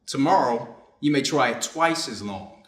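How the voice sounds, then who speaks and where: A man playing a conflicted, dutiful surgeon in a reader's theater piece, speaking in a measured, formal, earnest way. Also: medium pitch, expressive, natural voice. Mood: firm, clinical. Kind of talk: reader's theater stage reading. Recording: echoey room.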